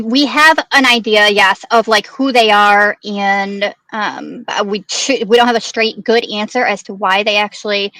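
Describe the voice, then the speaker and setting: A woman's voice: medium pitch, bright, forceful tone, dry recording, rough recording. A woman talking casually through a news case on a true crime stream, explaining things in a tentative way. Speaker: guest commentator on a true crime livestream.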